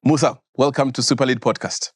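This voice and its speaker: A man's voice: mid-range voice, fairly even intonation, brisk, husky, no echo, clean studio sound. A man hosting a podcast, reading a formal introduction and encouraging his guest with short interjections. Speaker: podcast host.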